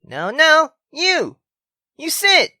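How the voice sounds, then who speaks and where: Woman putting on a high, bright, tipsy young woman. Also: sing-song, no echo, studio quality, full character voice. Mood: tipsy, affectionate. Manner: slangy, rambling, halting drunken delivery. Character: drunk, giggly, bossy young woman, affectionate best friend.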